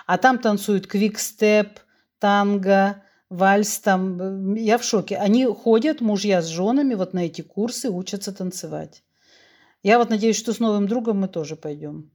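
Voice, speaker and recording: A woman's voice: medium pitch, normal speed. Frank woman around sixty, chatty storyteller. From Russia. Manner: frank, animated, tells anecdotes with quoted dialogue. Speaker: podcast guest. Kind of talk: candid conversational storytelling.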